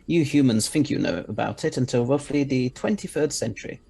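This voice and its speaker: A man's voice: medium pitch, expressive, brisk, vocal fry, dark tone, husky. A man playing an eccentric, confident scientist time traveller in an audio drama, speaking articulately with a mildly superior air. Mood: confident, explanatory.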